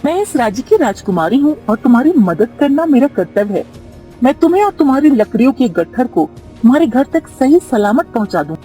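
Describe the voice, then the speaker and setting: A woman's voice: sits high, very animated, a little fast, warm tone, soft background music. A woman playing an earnest, kind but choosy young princess in a cartoon story. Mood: concerned, insistent.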